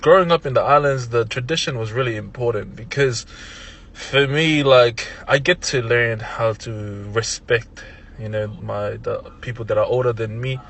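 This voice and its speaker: Young Tongan man, easygoing podcast guest, mid-pitched voice, chuckles between thoughts. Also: normal speed, fairly bright tone, slight background noise. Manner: laid-back, laughs often, many restarts. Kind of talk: casual interview chat.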